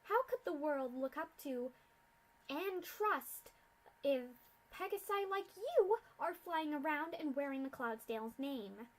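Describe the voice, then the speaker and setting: A sadistic female pony scientist, as a woman reader puts her on, sneering through mock-polite lines. Her voice sits high and pushed loud, all theatrical contempt in a storybook reading. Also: animated, takes it slowly, poor audio. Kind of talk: fanfic reading.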